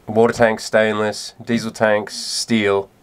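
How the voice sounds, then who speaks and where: A man's voice: lowish voice, level tone, takes it slowly, clean tone. A man in his thirties talking casually as he explains the repair work he is doing on a sailboat. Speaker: sailing vlogger doing boat repairs. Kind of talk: casual explaining while working. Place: inside a sailboat and on its deck. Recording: noisy on-location camera audio.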